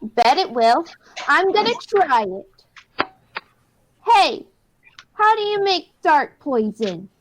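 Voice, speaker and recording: A woman's voice: high voice, sing-song, takes it slowly, pauses often, noisy background, full character voice. A woman voicing a bratty, stubborn little boy in a radio play, whiny and demanding. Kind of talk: hobby radio play acting.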